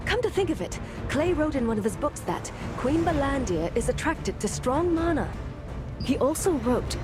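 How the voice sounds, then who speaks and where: A woman playing a composed, knowledgeable scholar-mage in a video game, measured and instructive. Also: mid-range voice, natural voice. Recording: clear music behind the voice.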